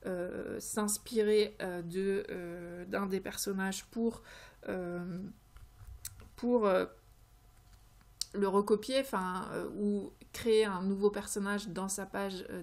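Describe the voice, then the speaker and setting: A woman presents creative ideas in an informal, unscripted way. She speaks haltingly, often searching for the right word as she explains each step. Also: medium pitch, a little mellow. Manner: hesitant, halting, searching for words. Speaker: creativity vlogger presenting tarot card ideas. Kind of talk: informal tutorial talk, unscripted.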